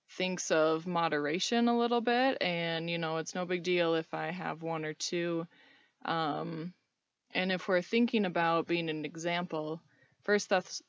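A woman's voice: lowish voice, no room sound, dull audio. A woman in her thirties from North Dakota, a guest answering earnestly in a casual interview, with frequent "um"s. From North Dakota, USA. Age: 30s. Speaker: podcast guest.

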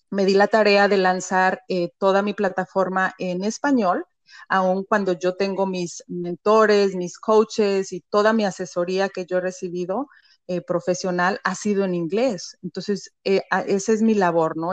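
A woman's voice: mid-range voice, steady tone, unhurried, no room sound, muffled recording. A woman with a Mexican accent, a business founder speaking as an interview guest, talking reflectively with many "eh" and "¿no?" tags. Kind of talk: conversational interview.

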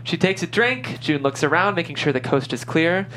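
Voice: mid-range voice, expressive, moderate pace, a bit bright, a little hiss or hum. A narrator reading scripted stage directions at a table read.

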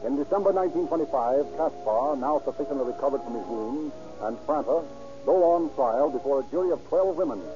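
A man reads a 1930s radio crime drama with a measured, declamatory delivery, and his voice sits a little high. The recording is muffled and old, and music plays under him. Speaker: narrator. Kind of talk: scripted dramatic narration.

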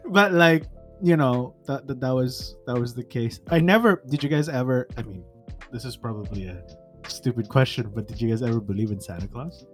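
A young man co-hosting a casual podcast chat, joking in an animated way. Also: medium pitch, normal speed, faint music behind. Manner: animated, joking, laughs often. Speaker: podcast co-host.

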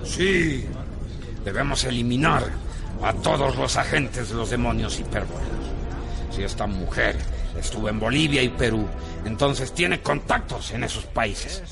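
A man's voice: medium pitch, measured pace, vocal fry. A man playing a ruthless agent who gives orders in a radio drama, commanding and urgent. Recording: music bed behind voice.

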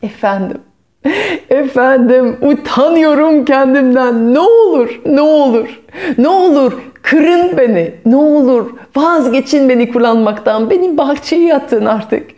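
A woman's voice: sits high, a little slow, a little mellow, voice pushed up. A woman voicing a sad, ashamed cracked water pot in a storybook tale, pleading and apologizing in repeated phrases.

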